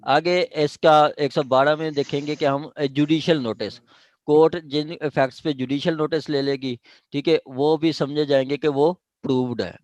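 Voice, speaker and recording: A man's voice: a little high, fairly even intonation, normal speed, darkish tone, no echo. A man with a Pakistani accent lecturing on statute law in a didactic way, checking in with listeners as he explains. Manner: didactic, punctuates points with check-in questions.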